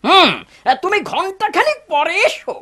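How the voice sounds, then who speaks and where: A woman voicing a fussy old herbal healer in a cartoon folk tale, excitable and given to muttering. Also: extremely high pitch, normal speed, full character voice. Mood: suspicious, hesitant. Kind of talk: animated cartoon dub.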